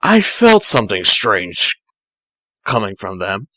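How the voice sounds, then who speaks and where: Man putting on a solemn, gruff male cartoon echidna, lowered pitch, slow deliberate halting delivery, watchful. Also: huge pitch swings, sharp tone, no room sound, full character voice, poor audio. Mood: suspicious, solemn. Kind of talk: amateur cartoon fan fiction reading.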